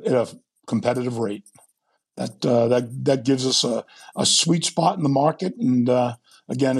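Man from Rhode Island, business guest, steady measured answers, gravelly texture. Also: medium pitch. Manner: steady, businesslike, many uh fillers. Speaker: guest, trucking executive. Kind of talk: interview answers.

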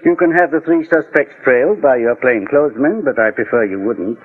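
An actor playing a composed, authoritative American private investigator in a 1940s radio drama, speaking formally and deliberately. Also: male voice, mid-range voice, breathy edge, narrow, tinny audio, natural voice. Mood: firm, confident. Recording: thin, band-limited 1940s radio recording.

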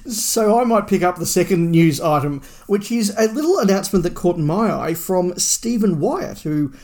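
A man's voice: high-pitched, lively intonation, normal speed, a little mellow. Man co-hosting a casual discussion. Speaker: podcast co-host. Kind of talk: casual discussion of Doctor Who fandom news.

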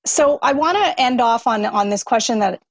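The woman hosting a laid-back interview podcast, her voice rough-edged and crackly with fry as she puts questions to a guest. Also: a little high, expressive, moderate pace, no room sound. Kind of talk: casual chat, asking questions.

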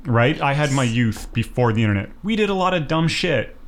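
Canadian guy in his 30s, thoughtful and earnest, lots of ums, mid pitch. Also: lively intonation, fairly quick. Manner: reflective, earnest, many fillers. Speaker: documentary filmmaker guest. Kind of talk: casual podcast interview.

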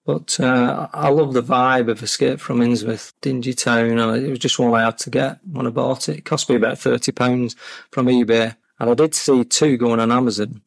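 Man, creaky fry in the voice, casual interview guest, dry recording. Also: mid-range voice, fairly even intonation, normal speed, no echo. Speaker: podcast guest, game collector. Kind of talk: casual interview chat about collecting.

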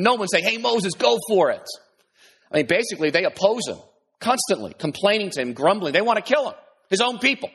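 A man's voice: high voice, fairly bright tone, slightly rough. A male pastor giving a sermon in a conversational way, often restarting his sentences and adding asides.

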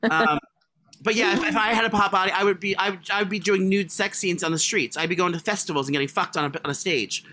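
A man with a high voice co-hosting a casual comedy podcast, crude and self-deprecating in his humour. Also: quick pace, pushed and bright. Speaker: podcast co-host. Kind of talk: casual comic chat.

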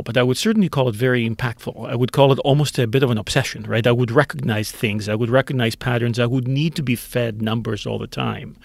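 A man's voice: medium pitch, vocal fry, no echo. A man in his fifties from Greece, answering interview questions about his life in a candid, self-deprecating way. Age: 50s. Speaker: author guest.

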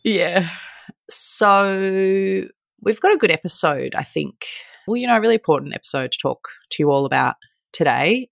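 A young woman co-hosts a casual podcast, upbeat and encouraging. Her voice has a gravelly fry to it. The sound is dry and close, with no room in it. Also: low voice, no echo. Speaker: podcast co-host. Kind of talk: casual chat. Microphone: remote recording.

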